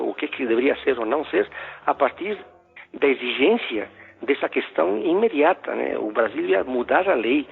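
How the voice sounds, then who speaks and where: A middle-aged man speaks with a Spanish accent, his voice gravelly and a little husky. He talks in a halting, conversational way, stopping and restarting often. The sound is narrow and phone-like. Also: mid-range voice. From Ecuador. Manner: halting, many restarts and fillers. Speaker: philosophy professor, podcast guest. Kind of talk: casual conversational storytelling.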